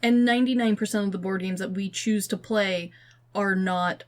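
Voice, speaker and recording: A woman's voice: mid-range voice, fairly even intonation, moderate pace. A woman hosting a podcast in a casual, slangy and opinionated conversational way. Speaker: podcast host.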